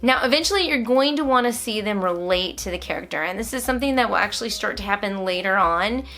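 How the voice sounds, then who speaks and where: Bright-voiced woman explaining to parents. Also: mid-range voice, lively intonation, fast. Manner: encouraging, explanatory. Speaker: homeschooling mother and host. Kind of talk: instructional vlog talk with read-aloud asides to a child.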